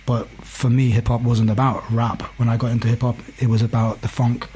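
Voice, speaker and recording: A man's voice: mid-range voice, little pitch movement, fairly quick, dark tone, a little hiss or hum, low-quality recording. A man in his forties with a Belfast accent talks casually and candidly, telling stories and often tagging phrases with "you know". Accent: Belfast Northern Irish. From West Belfast, Northern Ireland. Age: 40s. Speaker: podcast guest, hip-hop DJ. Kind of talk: casual conversational storytelling.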